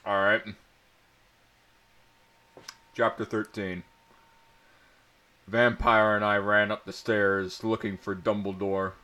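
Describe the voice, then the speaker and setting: A man's voice: lowish voice, flat delivery, measured pace, pushed and bright. A man doing a comedic dramatic reading of bad fan fiction, prone to stumbling and giggling as he reads. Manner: often breaking into giggles and stumbling over words.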